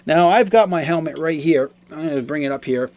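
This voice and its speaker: A man in his forties giving a casual, explanatory product review, talking in a halting, repetitive way. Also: fairly high pitch, a little mellow.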